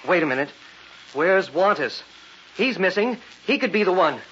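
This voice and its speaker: An actor playing an excitable, boyish young man in an old-time radio drama, on a muffled vintage recording. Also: high voice, normal speed, a little hiss or hum. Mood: excited.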